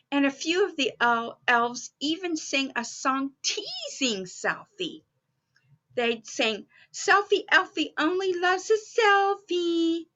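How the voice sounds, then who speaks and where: Woman playing a high, animated Mrs Claus. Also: highs cut off, full character voice. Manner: exclaiming, addressing young children directly with questions. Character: Mrs Claus, cheerful motherly storyteller at Santa's workshop. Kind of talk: children's story time, read aloud.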